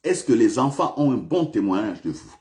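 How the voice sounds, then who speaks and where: A man's voice: medium pitch, very animated, normal speed, dark tone. A male pastor preaching a sermon in a church, repeating questions to the congregation with big swings of emphasis.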